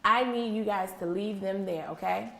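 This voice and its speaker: A woman's voice: medium pitch, moderate pace, vocal fry, a bit bright. A woman teacher leading an interactive read-aloud for young children, encouraging and praising, with simple repeated questions and instructions. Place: indoor classroom with young children. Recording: echoey classroom, faint background noise.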